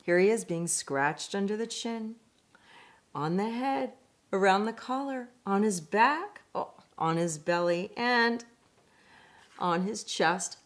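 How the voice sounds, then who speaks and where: A woman reading a picture book aloud in an expressive, deliberate way, with chatty asides. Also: medium pitch. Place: indoors at home.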